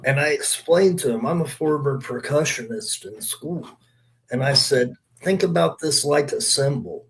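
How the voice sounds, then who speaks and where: A man's voice: a little high, expressive, unhurried, creaky, low-quality recording. A man chatting casually and telling stories as a former wrestler on a podcast panel, with a salty, profane way of talking. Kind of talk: casual storytelling chat.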